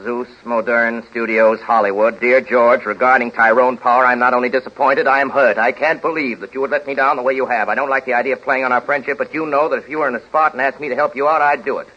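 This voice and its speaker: An actor playing a brash, self-important Hollywood producer who barks out orders in 1930s radio comedy style. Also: male voice, medium pitch, steady tone, close to an everyday voice. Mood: wheedling, businesslike. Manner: rapid-fire barking dictation and orders. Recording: muffled 1930s radio broadcast recording.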